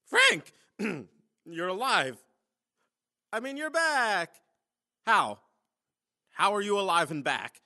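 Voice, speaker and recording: An actor playing a flustered, two-faced, scheming boss in a radio comedy, exclaiming theatrically. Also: male voice, sits high, big pitch swings, slow pace, long pauses, sharp tone, exaggerated voice. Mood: flustered, startled. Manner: theatrical, exclaiming, stammering cover-ups.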